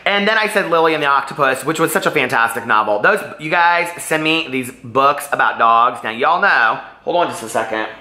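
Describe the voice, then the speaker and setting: A man's voice: medium pitch, lively intonation, fairly quick, few pauses, sharp tone, reverberant. A man chatting casually and animatedly as a book vlogger, rambling off on tangents.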